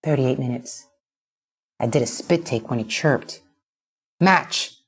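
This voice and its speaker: A woman reading a first-person short story as an audiobook narrator, expressive and dramatic. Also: rumbling low, normal speed, slightly rough.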